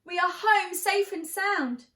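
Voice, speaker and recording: A woman's voice: extremely high pitch, takes it slowly, sharp tone. A woman reading a children's picture book aloud expressively, with dramatic emphasis on key words. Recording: roomy, echoing room sound.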